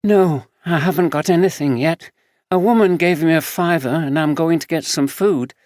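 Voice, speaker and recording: Imagine a young homeless woman, watchful and kind, her voice pitched up and lighter as a male narrator puts her on. The sound is close and dry, with no room echo. It fits a gritty audiobook tale. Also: moderate pace, darkish tone, natural voice. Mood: concerned. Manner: higher, lighter put-on voice.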